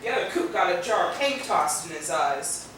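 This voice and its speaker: A woman's voice: on the low side, animated, moderate pace, a bit bright. An actress playing a feisty, foul-mouthed wounded woman in a stage play, brash and slangy. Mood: animated storytelling. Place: theater stage, far microphone. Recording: echoey, distant, noisy videotaped stage.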